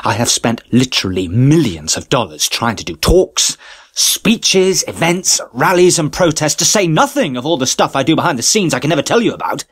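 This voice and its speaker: A man's voice: a little high, sing-song, normal speed, slightly rough, heavily acted. A male actor giving a mock-tragic dramatic reading as a self-pitying, aggrieved man, theatrical and overwrought. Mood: weary, aggrieved. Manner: theatrical, overwrought, aggrieved, swooping from hushed to outraged. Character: self-pitying, melodramatic man lamenting betrayal and ingratitude. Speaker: trained professional actor. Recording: voice over mournful classical music.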